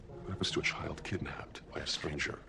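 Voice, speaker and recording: A deep-voiced, tense husband. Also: male voice, fairly quick, vocal fry. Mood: regretful, tense. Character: tense, evasive husband and reluctant new father. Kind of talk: naturalistic screen drama acting. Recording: noisy location sound.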